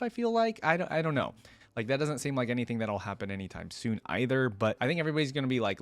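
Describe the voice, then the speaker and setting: A man with a fairly low voice hosts a casual reaction video. He talks in a loose, rambling, conversational way, thinking aloud as he goes. The sound is close and dry, with very little room in it. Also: dry recording. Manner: rambling, conversational, often hedging with "like" and "you know". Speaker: YouTube reaction host. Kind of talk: casual unscripted reaction commentary.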